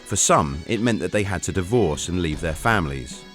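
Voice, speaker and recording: A man narrating a travel documentary in an earnest, measured storytelling manner, recorded as a voice-over. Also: low voice, expressive, a bit bright. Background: faint background music.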